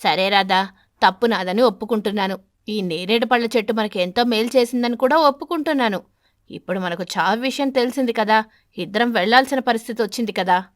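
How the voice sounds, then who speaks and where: A woman voicing an irritable, argumentative husband pigeon in an animated story, grumbling with exasperation. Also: fairly high pitch, fairly quick, no room sound, studio quality, lightly acted. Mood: grudging, annoyed.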